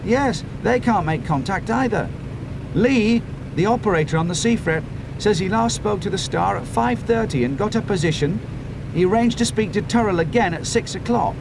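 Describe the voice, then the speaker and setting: A man's voice: high-pitched, background noise, pitched above the performer's own voice. A man voicing an anxious young male radio operator, reporting a lost signal in a rush.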